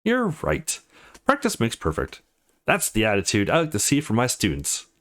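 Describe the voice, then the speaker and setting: Man reading as an animated, playfully bossy young guy. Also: mid-range voice, normal speed. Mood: laid-back. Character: easygoing young man playing bossy teacher in visual novel.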